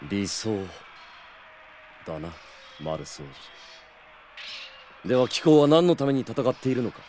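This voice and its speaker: A stern noble commander, very animated pitch. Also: male voice, measured pace, slight background noise. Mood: stern, sceptical. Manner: commanding, archaic aristocratic speech. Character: stern, proud noble duke, battle-hardened commander. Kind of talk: anime-style radio drama acting.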